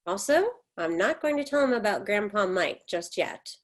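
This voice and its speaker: A woman's voice: mid-range voice, lively intonation, normal speed, lightly acted. Woman playing a firm, stoic American mother of forty-eight. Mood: brisk, controlled. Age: middle-aged. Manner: firm, clipped, insistent. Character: stoic, controlling 48-year-old American mother, curt and short-tempered. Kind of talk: staged play reading.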